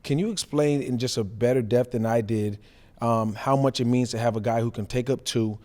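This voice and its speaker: A man's voice: medium pitch, steady tone, normal speed, darkish tone. A man co-hosting a sports podcast, giving casual, emphatic, colloquial football analysis in a reverberant room. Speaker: sports podcast co-host, former player.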